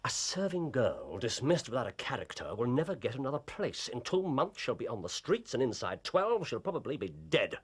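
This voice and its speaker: A self-assured victorian gentleman sleuth, dry and incisive, husky voice that moves around a lot. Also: mid-range voice, very animated, a little fast, hardly any pauses. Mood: probing, stern. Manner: precise, dry, probing. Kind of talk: period drama acting.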